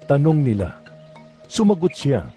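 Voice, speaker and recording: A man's voice: on the high side, huge pitch swings, unhurried, warm tone, soft background music. A man giving scripted narration of scripture as the narrator.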